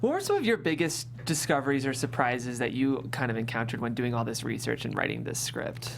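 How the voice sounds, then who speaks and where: A woman's voice: extremely low pitch, very animated, a little fast, vocal fry, slight background noise. A woman asking casual questions as an interviewer.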